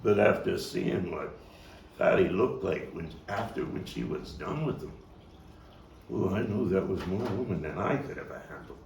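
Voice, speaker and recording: Guy doing a wry brooklyn street tough telling gang stories onstage, gravelly, echoey room, bit of noise. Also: low-pitched, animated, a little mellow. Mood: wry, nostalgic. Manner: dramatic storytelling with pauses and emphatic swells. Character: streetwise Brooklyn man reminiscing about his boyhood gang, coarse and wry. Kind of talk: theatrical monologue, table read. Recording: roomy, distant mic, faint noise.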